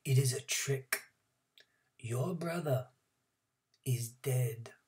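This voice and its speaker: Reader doing a worn-out old man, grim, barely above a whisper, slow. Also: medium pitch. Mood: grim warning. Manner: hushed, breathy whisper. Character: frail old male camp escapee, grim, exhausted.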